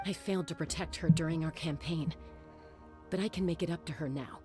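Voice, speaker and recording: A woman's voice: deep voice, fairly even intonation, brisk, darkish tone, soft background music, natural voice. A woman voicing a stoic, resolute, battle-hardened fighter in an anime video game, firm and plain-spoken. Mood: regretful, resolute.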